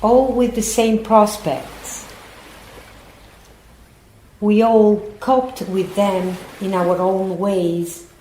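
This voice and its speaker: A woman's voice: mid-range voice, flat delivery, extremely slow, pauses often, warm tone. A woman playing a grave narrator who recounts a doomsday in scripted, dramatic narration. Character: grave female narrator recalling humanity's doom. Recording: echoey room, faint background noise.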